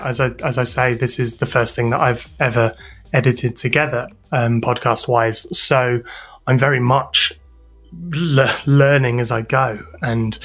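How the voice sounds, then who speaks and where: A man's voice: medium pitch, fairly bright tone. A British man giving casual interview answers as a guest, informal and hesitant as he thinks aloud. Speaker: interview guest, podcast sound designer and composer.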